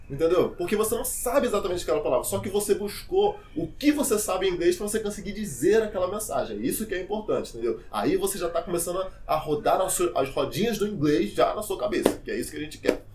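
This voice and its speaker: A young man explains things in a loose, chatty way, talking straight to his viewers. His voice sits a bit high for a man, with a faint hiss of background noise. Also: fast, few pauses. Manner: chatty, informal, many fillers and asides; lively, addresses viewers directly. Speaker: English teacher. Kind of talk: casual teaching vlog.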